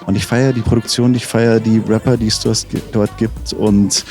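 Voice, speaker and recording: A man's voice: on the low side, level tone, moderate pace, a little mellow, faint music behind. A man as a rapper interview guest, chatting casually and enthusiastically with slang and frequent restarts. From Munich.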